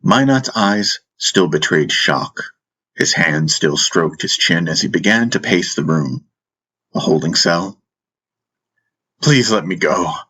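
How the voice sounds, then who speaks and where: A man's voice: low voice, animated, measured pace, sharp tone, husky, rough recording. A man reading a fantasy audiobook as a dramatic first-person narrator, solemn and intense.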